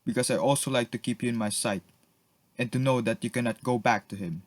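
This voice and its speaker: A man's voice: medium pitch, steady tone, a little fast, background noise, close to an everyday voice. A man playing a stern, suspicious lord and jealous husband in a radio drama, pompous and sneering. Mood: bitter scorn. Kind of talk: melodramatic radio drama acting.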